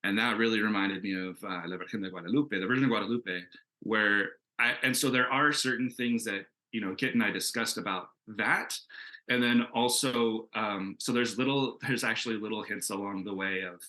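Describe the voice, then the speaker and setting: Man, lowish pitch, bright forceful tone, enthusiastic, conversational, thinking aloud, casual creator panel. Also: fairly even intonation, moderate pace, rough recording. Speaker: comic book creator answering questions in a panel.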